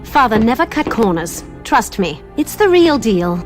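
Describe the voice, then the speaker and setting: A woman's voice: on the high side, big pitch swings, moderate pace, over music. An actress playing a confident, poised daughter of a powerful man in a video game, assured and earnest. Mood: certain.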